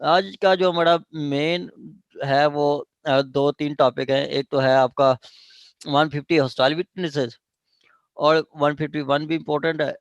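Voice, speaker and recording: A Pakistani man lectures on law in an informal, interactive way. His voice sits a little high and moves slowly, with a dry, close sound. He reads out phrases and explains them as he goes. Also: level tone, dry recording, muffled recording. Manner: explanatory, reads out then paraphrases.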